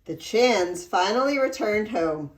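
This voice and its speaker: A woman reading a children's storybook aloud in an expressive, deliberate storytelling manner. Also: mid-range voice. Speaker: read-aloud narrator. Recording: roomy echo.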